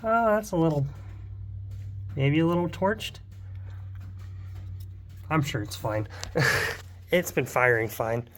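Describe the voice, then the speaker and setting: A man's voice: fairly high pitch, sing-song, slow, pauses often. Rambling young man, a little wheezy. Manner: rambling, lots of ums and asides. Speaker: car hobbyist vlogger. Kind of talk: casual garage vlog. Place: home garage.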